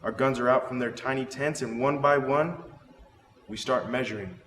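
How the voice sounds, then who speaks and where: A man's voice: mid-range voice, normal speed, a bit bright. Theatrical man reading in an echoey hall. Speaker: drama student reader. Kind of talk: dramatic poetry reading. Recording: echoey reverberant room with background noise.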